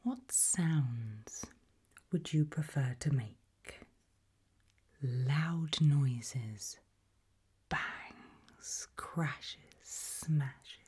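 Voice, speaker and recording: A British woman doing an ASMR roleplay in a breathy whisper, putting soft questions to the listener with deliberate pauses. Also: rumbling low. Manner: slow, deliberate, with long pauses.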